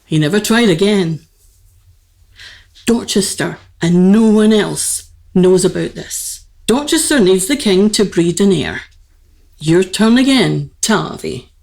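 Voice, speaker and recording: A woman's voice: mid-range voice, animated, slow pace, creaky, darkish tone. A woman playing a young, gracious and candid queen in a radio play, with formal, poised phrasing. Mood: confiding, resigned. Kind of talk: theatrical radio play dialogue, courtly.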